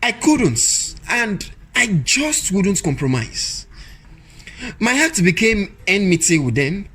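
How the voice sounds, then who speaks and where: A man with a Nigerian accent playing a devout, principled and weary officer in a radio drama, speaking in a halting, solemn way. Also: high voice, very animated, sharp tone, breathy edge, exaggerated voice. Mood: solemn, defiant.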